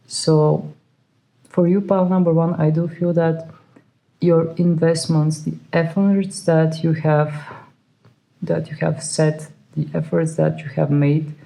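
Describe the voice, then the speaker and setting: A tarot reader who is not a native English speaker, hesitantly searching for words with many fillers and soft laughs. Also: mid-range voice, vocal fry, poor audio. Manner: soft laughs while talking. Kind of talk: pick-a-card tarot reading.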